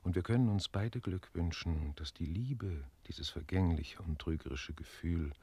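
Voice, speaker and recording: Deep, husky, gallant marquis. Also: male voice, unhurried, a little mellow, dry recording. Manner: courtly, declamatory. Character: suave older French marquis, courtly and gallant.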